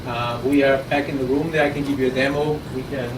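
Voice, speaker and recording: Austrian-accented man pitching, distant in an echoey room. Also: fairly even intonation, brisk. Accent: Austrian German. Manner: conversational with frequent restarts and repeated words. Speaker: startup founder pitching. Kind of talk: live pitch presentation. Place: conference room at an innovation event. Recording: roomy, distant, noisy audience recording.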